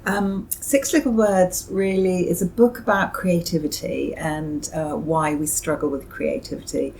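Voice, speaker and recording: A woman's voice: on the low side, steady tone, measured pace, gravelly, darkish tone, a little hiss or hum, low-quality recording. A middle-aged or older British woman giving thoughtful, somewhat hesitant interview answers as a novelist.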